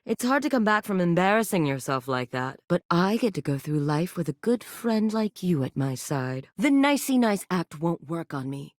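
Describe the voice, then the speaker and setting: A woman's voice: medium pitch, no echo, clean studio sound, natural voice. Woman voicing a sultry, foul-mouthed sex-toy vixen. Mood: bitter. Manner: sultry, teasing, swears freely. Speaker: dating sim character. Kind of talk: video game voice lines.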